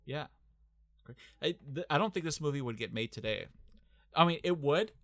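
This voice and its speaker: A young man with a Midwestern American accent co-hosting a casual movie podcast, talking in halting bursts full of fillers and restarts. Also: on the high side, lively intonation, a bit bright, no room sound, clean studio sound. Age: young adult. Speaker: podcast co-host.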